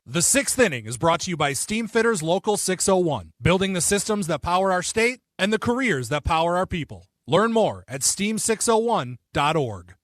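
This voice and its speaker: A male radio announcer reads a scripted sponsor message in a high, lively voice. Light music sits behind him. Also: moderate pace.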